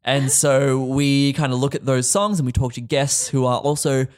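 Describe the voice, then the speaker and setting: A man's voice: mid-range voice, steady tone, normal speed. A man chatting as a podcast guest, laughing easily and making fun of himself. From Australia. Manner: laughing, self-deprecating banter. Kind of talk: casual podcast chat.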